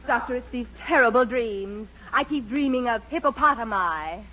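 Bright, gushing gullible lady patient. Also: on the high side, expressive, normal speed. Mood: earnest amazement. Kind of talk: 1940s radio comedy acting. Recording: muffled, faintly noisy old radio recording.

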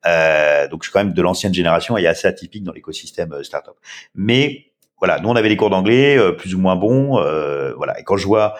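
Deep-voiced man in his fifties. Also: lively intonation, brisk. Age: 50s. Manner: rambling, many filler sounds. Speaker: startup founder. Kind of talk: casual interview answers.

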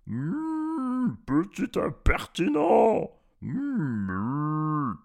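A hungry farm cow from a children's tale. It drags every word out extremely slowly, swooping up and down in long moos and smacking its lips. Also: male voice, on the high side, huge pitch swings, creaky, darkish tone, voice pushed up, heavily acted. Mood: indignant. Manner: drawn-out mooing, lip-smacking. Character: hungry, slow, mooing cow.

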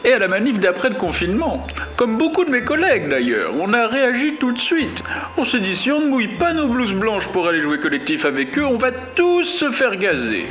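A man's voice: extremely high pitch, sing-song, fairly quick, fairly bright tone, exaggerated voice. A man playing a fired-up emergency doctor in a mock radio interview, colloquial and emphatic. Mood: militant, animated. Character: fired-up male emergency doctor turned activist.

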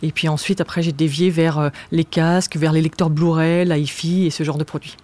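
A woman from France, a marketing director, answering interview questions in an agency office with halting, hesitant phrasing. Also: sits low, level tone, a little mellow.

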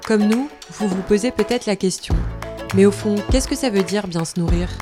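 A woman's voice: medium pitch, normal speed, music in the background. A woman hosting a podcast in scripted narration. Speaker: podcast host.

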